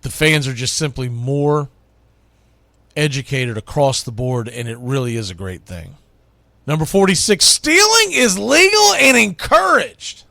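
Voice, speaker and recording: A middle-aged man with a slightly high voice, recorded close and dry. He talks like a sports radio host making his case, emphatic on the key points and folksy in his asides. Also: creaky, dry recording. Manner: emphatic, announces each item loudly then drops into quieter musing. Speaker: radio host and baseball play-by-play announcer. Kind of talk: opinionated sports monologue counting down a list.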